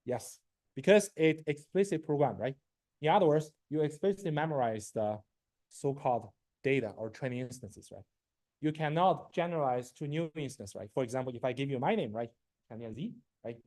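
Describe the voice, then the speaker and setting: A man in his forties, a native Chinese speaker, giving a university machine learning lecture in a halting way, full of fillers and a constant "right?", often clearing his throat. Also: mid-range voice, darkish tone, no echo. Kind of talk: classroom lecture.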